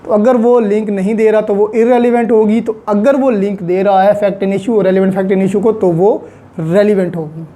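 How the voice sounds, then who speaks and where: Dark-voiced Pakistani woman giving a lecture. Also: mid-range voice, fairly even intonation, moderate pace. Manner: methodical, repeats key points in short phrases. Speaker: law lecturer. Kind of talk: explanatory lecture reviewing legal articles.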